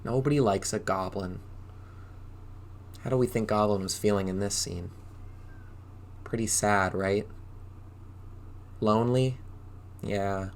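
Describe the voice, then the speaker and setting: A man reading a story to young children as an acting teacher, expressive and often putting questions to his listeners. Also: fairly low pitch, slight background noise.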